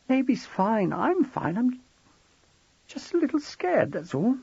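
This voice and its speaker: An audiobook reader, a man, voicing a frightened, tearful pregnant young wife who talks softly and tremblingly. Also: very high-pitched, sing-song, slow, creaky, breathy edge, dry recording, pitched above the performer's own voice, exaggerated voice. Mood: tearful, scared. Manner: soft, trembling and sniffly. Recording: dull, dry lo-fi recording.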